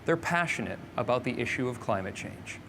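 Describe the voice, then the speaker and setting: A man's voice: medium pitch, lively intonation, fairly quick, gravelly, fairly bright tone, a little hiss or hum. A man presenting a live show, reading scripted links in a polished, upbeat way. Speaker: host.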